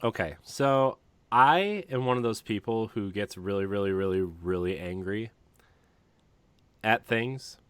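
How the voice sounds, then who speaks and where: Low-pitched man chatting, dry close sound. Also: expressive, unhurried, a bit bright, clear, resonant tone, no room sound. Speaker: podcast co-host. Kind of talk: casual podcast chat.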